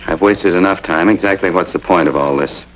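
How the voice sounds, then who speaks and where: Man acting a fast, low-voiced, indignant robbery suspect. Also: close to an everyday voice. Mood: coolly dismissive. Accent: American. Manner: haughty, defensive, clipped formal phrasing. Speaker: actor. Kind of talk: 1950s television police drama acting. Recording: dull vintage 1950s TV soundtrack.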